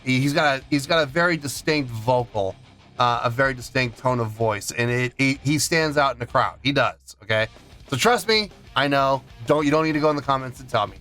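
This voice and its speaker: A man's voice: mid-range voice, brisk, bright, forceful tone. A man hosting a music reaction channel, giving a casual song review and often repeating himself for emphasis. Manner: repeats and restarts phrases for emphasis.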